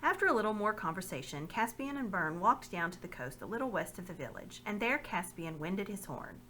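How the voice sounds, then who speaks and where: A woman reading a children's novel aloud with expressive, measured narration. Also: medium pitch, slight background noise. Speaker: reader.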